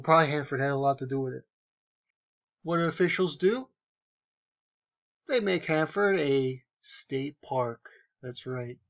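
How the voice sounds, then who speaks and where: A man's voice: on the high side, lo-fi sound. A man giving casual, sarcastic commentary over screenshots, with incredulous, emphatic asides. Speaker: video commentator.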